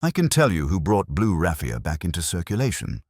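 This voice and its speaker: A man voicing a brisk, forthright middle-aged woman florist who gossips in a wry, slightly guarded way in a village mystery story. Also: low-pitched, wildly swooping pitch, darkish tone, no echo, clean studio sound, pitched above the performer's own voice, exaggerated voice. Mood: gossipy.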